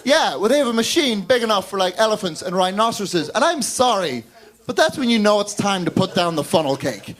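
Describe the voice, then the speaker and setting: A man from Northern Ireland with a Northern Irish accent, doing a wry, deadpan stand-up set on a club stage. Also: high voice. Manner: wry, deadpan storytelling with quick character voices. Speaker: stand-up comedian.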